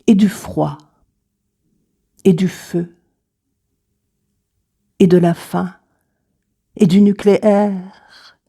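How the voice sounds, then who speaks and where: Female poet for recited verse: slightly low, dark and mellow, deliberate phrasing with weighty pauses. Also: gravelly. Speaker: poet reading own work. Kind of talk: slow recited poetry reading.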